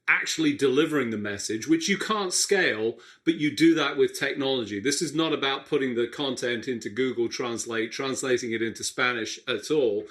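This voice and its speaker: A man's voice: medium pitch, fairly bright tone. A man hosting a healthcare radio show, reflective and thinking aloud with frequent restarts. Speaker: radio show host, physician. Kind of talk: interview host.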